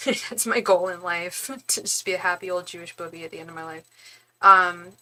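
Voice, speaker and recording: A woman's voice: lowish voice, steady tone, normal speed, bright, forceful tone. A young woman doing a casual live-stream tarot reading, chatty and rambling as she talks straight to her viewers. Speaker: tarot reader and psychic.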